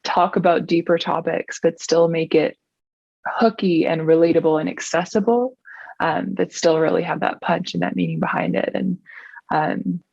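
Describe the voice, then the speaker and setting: Kiwi singer lady chatting in an interview, fry in her voice, muffled lo-fi sound, says like a lot. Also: lowish voice, fairly even intonation, moderate pace, a little mellow, no room sound. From New Zealand. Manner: chatty, frequent "like" and "um" fillers. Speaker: singer-songwriter guest. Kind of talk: casual interview chat.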